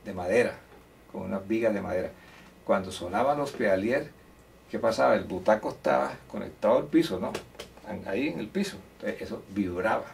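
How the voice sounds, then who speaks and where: A man's voice: lowish voice, expressive, a little fast, creaky. An older man from Córdoba, Colombia, telling stories from his life as a musician in a chatty, anecdotal way, with hesitant restarts. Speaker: musician interviewee. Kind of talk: relaxed storytelling interview. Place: indoor room beside a grand piano. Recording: distant mic with faint room noise.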